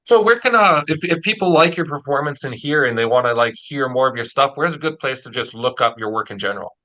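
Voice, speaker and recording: Male interviewer, middle-pitched with a bright, forward tone, relaxed conversational phrasing. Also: quick pace, low-quality recording. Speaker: interviewer, audio drama producer. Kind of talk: casual interview chat.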